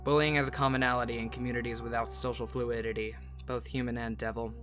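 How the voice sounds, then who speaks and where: A woman's voice: rumbling low, little pitch movement, fast talker, music in the background. A woman voicing a cold, mocking devil in a comic fan dub, detached and pedantic. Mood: coldly mocking.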